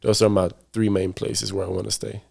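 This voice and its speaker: A man talking as a podcast guest in a relaxed, casual interview, often repeating his phrases. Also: on the low side, fairly quick, gravelly, husky. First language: English. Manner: laid-back, repeats himself.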